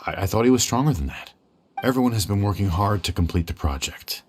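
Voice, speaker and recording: An actor playing a self-justifying young scholar in an anime video game, speaking with cold reproach. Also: male voice, fairly low pitch, lively intonation, fast talker, husky. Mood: reproachful.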